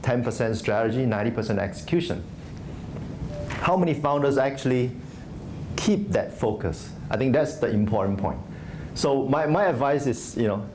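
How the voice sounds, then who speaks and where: A man's voice: medium pitch, warm tone, a little hiss or hum. A man from Singapore, an investor giving a conversational conference talk full of fillers and restarts. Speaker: venture investor speaking at a startup conference. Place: conference stage.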